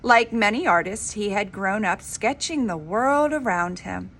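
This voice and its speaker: A woman reading a children's story aloud in an expressive, dramatic, emphatic storybook manner. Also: mid-range voice, measured pace, slight background noise. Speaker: reader of a children's book.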